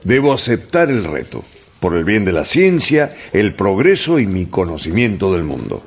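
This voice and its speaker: Low, unflappable gentleman, gravelly edge. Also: sing-song, heavily acted. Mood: confident, resolute. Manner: formal, measured, self-assured. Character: unflappable, precise English gentleman making a bold wager. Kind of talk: radio drama acting.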